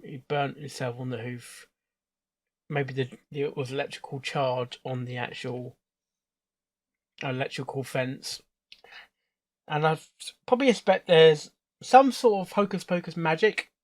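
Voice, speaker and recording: A man hosting a reaction video, commenting off the cuff in a halting way, repeating words and phrases. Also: on the high side.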